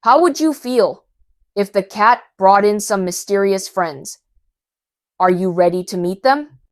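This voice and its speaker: A woman reading a storybook aloud to children in an animated storytelling style. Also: medium pitch, unhurried.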